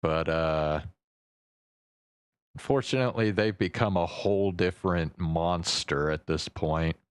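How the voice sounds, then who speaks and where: A man with a deep, clean voice chats casually on a livestream. He talks slowly and haltingly, rambling with offhand swearing. The sound is close and dry, with soft music behind him. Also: clean tone, no echo. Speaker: live streamer.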